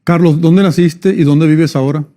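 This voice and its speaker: Quick interpreter man, mellow voice. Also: a little high, fairly even intonation. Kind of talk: simultaneous interpreting.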